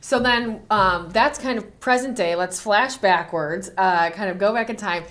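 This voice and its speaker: A woman's voice: mid-range voice, measured pace, a bit bright. A woman hosting a podcast, with a mid-range voice. She sounds upbeat and eager and asks her questions with frequent fillers. She is recorded in a studio. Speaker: podcast host. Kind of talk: interview hosting.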